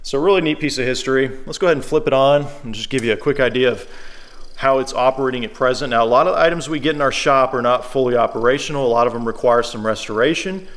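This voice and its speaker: A man's voice: medium pitch, quick pace, a bit bright. Man, mid-range voice, shop presenter, casual product demo, conversational, explanatory.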